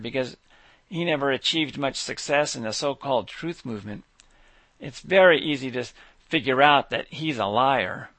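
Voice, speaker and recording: A man's voice: fairly high pitch, a bit bright, dry recording. Man reading a dry scripted monologue. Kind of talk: scripted monologue read aloud.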